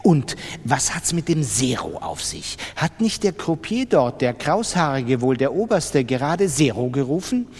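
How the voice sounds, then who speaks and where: A man voicing an imperious, excitable old grandmother who fires off impatient questions and commands in a literary reading. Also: mid-range voice, a little slow, few pauses, breathy edge, full character voice. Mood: astonished, demanding. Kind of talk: dramatized literary audiobook reading. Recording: long reverberant hall.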